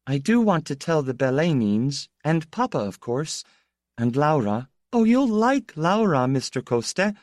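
A shy teenage schoolgirl, put on by a male narrator in a high, soft voice that swoops wildly between notes. She speaks slowly and hesitantly, her feelings close to the surface. The reading sounds dry and close. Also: big pitch swings, dry recording. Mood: shy dreamy. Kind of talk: audiobook character voice.

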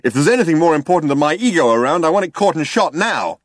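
A man voicing a vain, insecure, cocky spaceman in an audiobook, excitable and acting rather slow on the uptake. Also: on the high side, dry recording, clean studio sound, pitched above the performer's own voice, natural voice. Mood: cocky, dim. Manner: cocky, excitable, drawn-out bursts. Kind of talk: audiobook character voice.

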